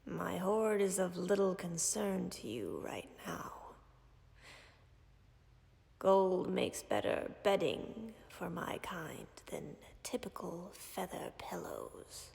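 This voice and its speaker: A woman voicing a calm, ancient female dragon who tends a wounded human, speaking in a whispery, gravelly, measured and formal way in an audio roleplay. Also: on the low side, big-room sound, full character voice. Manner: measured, formal, dryly commanding.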